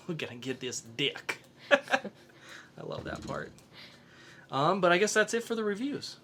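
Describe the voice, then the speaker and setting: A man's voice: sits high, very animated, slow, sharp tone, slight background noise. A man hosting a podcast, chatting casually about comics and films. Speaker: podcast host. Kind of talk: casual comics and film review chat.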